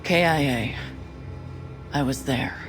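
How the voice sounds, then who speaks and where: A steady female commander briefs an agent in a game cutscene. Her delivery is candid, blunt and matter-of-fact, with music clearly playing behind her. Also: rumbling low, slow, heavily acted. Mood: grim, frank. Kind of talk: scripted game cutscene dialogue.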